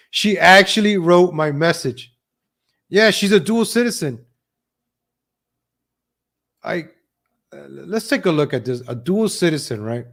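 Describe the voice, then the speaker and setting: A man's voice: sits high, expressive, slow pace, gravelly, pauses often, fairly bright tone. A man hosting a live stream of political gossip commentary, mocking and sarcastic, repeating phrases for emphasis.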